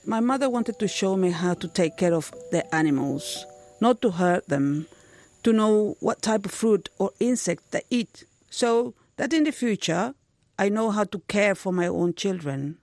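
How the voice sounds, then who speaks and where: A woman's voice: mid-range voice, expressive, unhurried, darkish tone. A woman giving a translated first-person voice-over, telling a story as an interpreter.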